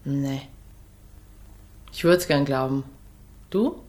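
An actress playing a cool, slightly disillusioned nurse in intimate radio drama dialogue, terse and understated. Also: female voice, extremely low pitch, extremely slow, darkish tone, full character voice. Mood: resigned.

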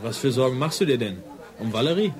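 An actor playing a dry, ironic police assistant who likes to needle, in a naturalistic radio drama. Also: male voice, mid-range voice, lively intonation, quick pace, close to an everyday voice. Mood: needling.

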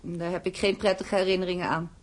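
A woman's voice: on the low side, flat delivery, quick pace, close to an everyday voice. An actress playing an affectionate older aunt in a Dutch radio play, fussing and anxious in a motherly way. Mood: fond, reminiscing.

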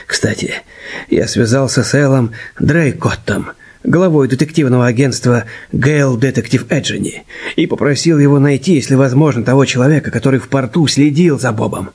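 An actor playing a worried older gentleman in a radio play, grave and anxious. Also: medium pitch, moderate pace, breathy edge. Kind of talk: Russian radio play acting.

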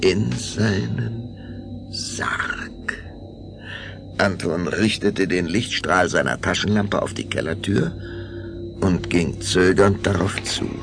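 A man's voice: low-pitched, slow, creaky, music in the background. A man narrating a children's radio play as a theatrical, suspenseful storyteller. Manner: suspenseful, theatrical, shifting to whispers.

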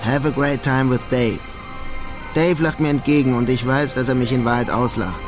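A man's voice: medium pitch, fairly even intonation, moderate pace, close to an everyday voice. Radio drama lead: man playing young melancholy German émigré painter, mid-range, understated, wry, music underneath. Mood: brooding. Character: melancholy young German emigrant painter adrift in New York. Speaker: protagonist and narrator of a radio crime drama. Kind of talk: German radio drama, introspective narration and dialogue.

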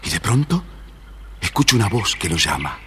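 A man with a low, deep voice tells a children's tale as a dramatic narrator. His pitch moves expressively, and the record has long reverb and faint noise. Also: big pitch swings, normal speed, bright, forceful tone, slightly rough. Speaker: storyteller narrator. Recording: reverberant, slightly noisy story record.

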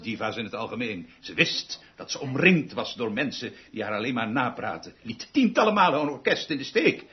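Pompous, wildly animated orchestra conductor. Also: male voice, mid-range voice. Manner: theatrical, rambling, self-important, repeating himself. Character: pompous, eccentric, world-weary male orchestra conductor. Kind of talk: radio play acting. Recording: dull, noisy radio drama recording with clicks.

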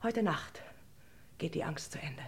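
Murderous housekeeper, woman, slightly low voice, bitter, commanding, desperate, clipped delivery, radio crime drama. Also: lively intonation, a little slow.

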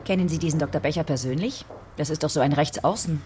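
A woman's voice: low-pitched, quick pace, noisy background, natural voice. A woman playing a direct, wry homicide detective in a radio drama, dry and probing. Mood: wry, curious.

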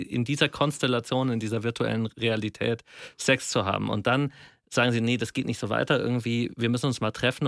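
A man's voice: mid-range voice, creaky, a bit bright, no echo. A man co-hosting a casual radio talk in a studio, speaking colloquially and telling things like anecdotes. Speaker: radio show co-host.

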